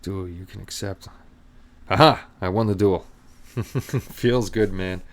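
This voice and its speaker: Easygoing middle-aged guy chatting on his stream, lowish smooth clear voice, just shooting the breeze with viewers. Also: slow. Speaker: live stream host. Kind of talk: casual live stream chat.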